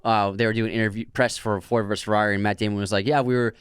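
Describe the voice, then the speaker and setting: A young man co-hosting a podcast, easygoing and quick with reactions and jokes. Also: lowish voice, fairly even intonation, clean tone, dry recording, studio quality. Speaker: podcast co-host. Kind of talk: casual film chat.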